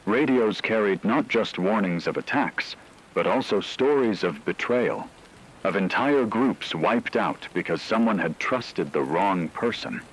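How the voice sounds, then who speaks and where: A man narrating a scripted apocalyptic story in a solemn, measured way. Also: lowish voice, expressive, slight background noise, low-quality recording.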